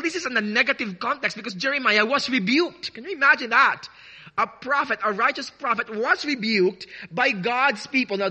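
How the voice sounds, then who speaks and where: High, bright-voiced man preaching. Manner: earnest preaching with many restarts, drawing out key words. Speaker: pastor. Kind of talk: sermon.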